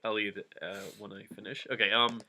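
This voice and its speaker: A man's voice: lowish voice, little pitch movement, fairly quick, sharp tone. A man doing a comic dramatic reading with casual, jokey commentary, easily amused by the story. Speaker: reader and commentator.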